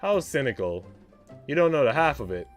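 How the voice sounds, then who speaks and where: A man's voice: mid-range voice, very animated, a little fast, lightly acted. A man voicing an aloof older woman in a visual novel, mischievous, playful and dramatic, with music behind. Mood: theatrical.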